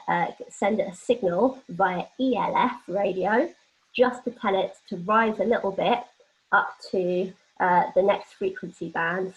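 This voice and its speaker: A woman presenting an explanatory workshop lecture, talking informally and illustrating points with everyday examples. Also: mid-range voice. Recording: dull, lo-fi band-limited audio.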